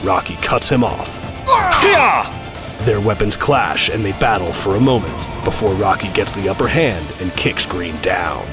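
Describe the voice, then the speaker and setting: A deep-voiced man reads scripted action narration as the storyteller of an audio drama. Loud music runs underneath his voice. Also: a little slow.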